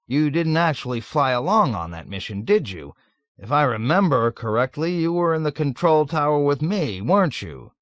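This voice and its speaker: A man voicing a jovial, nervous middle-aged colonel who gushes loudly and is eager to please. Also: a little high, sing-song, moderate pace, no room sound, voice pushed up. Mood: gushing. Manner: loud, gushing, eager to please.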